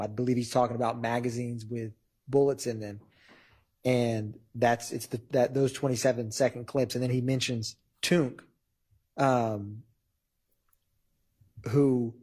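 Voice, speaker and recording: Man, prosecutor, husky voice, middle pitch, formal halting courtroom argument. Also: a little mellow. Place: large wood-paneled courtroom.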